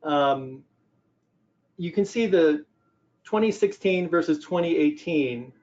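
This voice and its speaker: A man's voice: on the high side, animated, slow pace, darkish tone. An older man giving a conversational presentation on business technology, explaining things by posing questions and answering them. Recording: dull, lo-fi audio.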